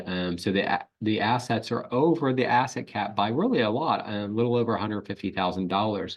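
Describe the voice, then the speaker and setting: A man, an elder law attorney, giving a webinar presentation in an explanatory way, clearing his throat often and using filler ums. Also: on the low side, muffled recording.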